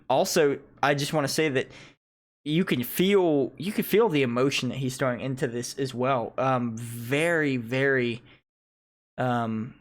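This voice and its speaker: A man, a native English speaker, giving casual, analytical reaction commentary on music and thinking aloud in a halting way. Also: medium pitch. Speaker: music reaction video host.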